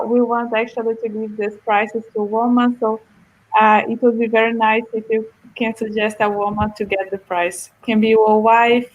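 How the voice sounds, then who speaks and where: A woman from Brazil, co-organizing an online event on a video call, cheerful and quick to laugh. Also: on the high side, dull audio.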